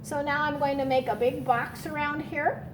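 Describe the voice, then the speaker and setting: A woman teacher leading a children's read-aloud lesson, speaking in a deliberate, instructional way and repeating key words. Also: high voice, steady tone, noisy background.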